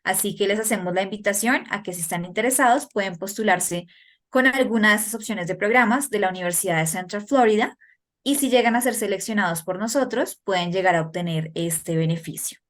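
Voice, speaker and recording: A woman, hosting and introducing an informational webinar over a video call in a brisk, informative way. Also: medium pitch. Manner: brisk, informative announcer delivery. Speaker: webinar host.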